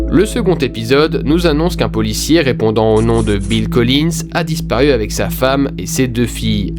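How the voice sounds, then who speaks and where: A man giving casual, slangy horror commentary as a YouTube host, talking conversationally. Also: mid-range voice. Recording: music behind the voice. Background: music.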